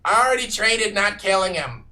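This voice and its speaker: Guy doing a cocky little red cap creature, loud and bragging. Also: sits high, lively intonation, moderate pace, distant mic, pitched above the performer's own voice, exaggerated voice, rough recording. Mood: brash. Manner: brash, boastful, loud. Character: small cocky red-capped male creature.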